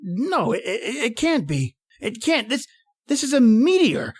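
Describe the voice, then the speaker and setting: A woman's voice: on the high side, very animated, a little slow, husky, full character voice. A woman playing an impatient, rational professor in a radio horror play, with a theatrical, exclamatory manner. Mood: stunned, urgent. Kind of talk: old-time radio horror acting.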